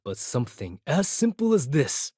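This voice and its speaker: A man's voice: on the high side, big pitch swings, moderate pace, a little mellow, breathy edge, dry recording. A man voicing an arrogant space pirate accountant and hacker for a video game, talking with dry, condescending sarcasm in clipped phrases. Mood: dry, sardonic. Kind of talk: scripted video game character dialogue.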